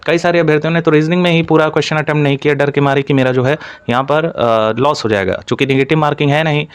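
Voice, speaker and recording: A man's voice: on the high side, steady tone, fast talker, smooth, no room sound. A man giving an informal explainer as a presenter, addressing students directly with repeated filler phrases. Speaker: exam-news presenter for job aspirants. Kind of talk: informal explainer narration to camera-style audience.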